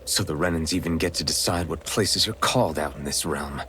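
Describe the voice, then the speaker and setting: An actor playing an earnest, curious young adventurer in a video game, talking straightforwardly. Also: male voice, very deep voice, a little fast, bright, forceful tone, a little hiss or hum. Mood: puzzled. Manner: inquisitive, straightforward.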